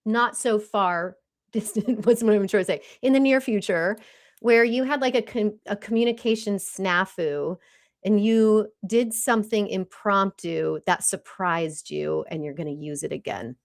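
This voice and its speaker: A woman's voice: mid-range voice, a little slow, creaky. A woman hosting a podcast, chatting casually and enthusiastically with her guest. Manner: enthusiastic, informal. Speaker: podcast host, speaker. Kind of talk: casual interview chat.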